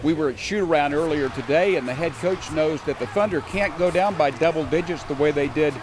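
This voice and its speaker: A man's voice: a little high, fairly quick. A man giving a live sports report on location for a television newscast. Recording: noisy outdoor urban live shot.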